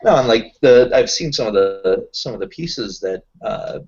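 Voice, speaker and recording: A man's voice: mid-range voice, animated, normal speed, vocal fry, darkish tone. Male tabletop player, mid-range voice, casually explaining at length, slightly dull recording. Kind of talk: casual in-character chat.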